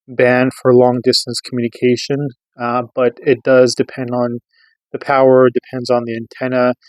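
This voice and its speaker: A man's voice: mid-range voice, dry recording, rough recording. A middle-aged American man giving a casual product review, explaining things in an informal, rambling way. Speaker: radio reviewer.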